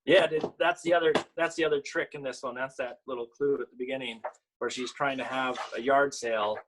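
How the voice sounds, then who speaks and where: A man who works as a children's author, talking casually and explaining in a halting, conversational way during a storytime. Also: medium pitch, fairly even intonation, noisy background. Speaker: picture book author and illustrator. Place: at home, online video event.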